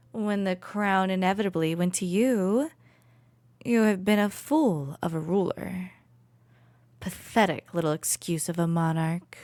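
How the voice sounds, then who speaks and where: A woman playing a cruel, possessive royal villainess in an ASMR roleplay, condescending and taunting with soft, menacing asides. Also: mid-range voice, dry recording. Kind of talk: ASMR roleplay, dramatic monologue to listener.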